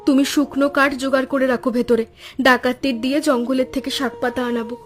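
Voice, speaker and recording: A woman's voice: high voice, flat delivery, quick pace, natural voice. A woman voicing a kind, resourceful woodcutter's wife in a cartoon, speaking in a reassuring, practical way. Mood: confident, reassuring. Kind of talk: Bengali cartoon story voice acting. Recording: music playing under the voice.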